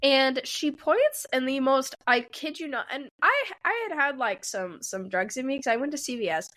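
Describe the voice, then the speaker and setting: A woman's voice: sits high, sing-song, moderate pace, fairly bright tone. A woman in her twenties, a podcast co-host, telling a rambling story in casual chat and acting out what people said. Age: 20s. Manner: rambling, laughing, acts out quotes.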